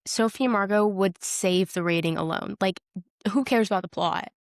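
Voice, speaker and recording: A woman's voice: mid-range voice, moderate pace, dry recording, clean studio sound. A woman co-hosting a podcast, talking about TV in a blunt, informal way with emphatic slang. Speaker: podcast co-host. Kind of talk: casual TV-review chat.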